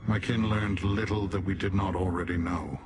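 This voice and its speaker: A man's voice: low voice, steady tone, normal speed, faint music behind, close to an everyday voice. A man playing a stern, haughty demon warrior in a video game, speaking in a formal, commanding manner. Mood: grave, measured. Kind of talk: video game character acting.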